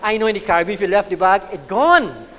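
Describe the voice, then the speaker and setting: A man from Guyana with a Guyanese accent, telling a stand-up story live on stage in an animated, drawling way. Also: high voice, quick pace, big-room sound. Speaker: stand-up comedian. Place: live comedy venue with an audience.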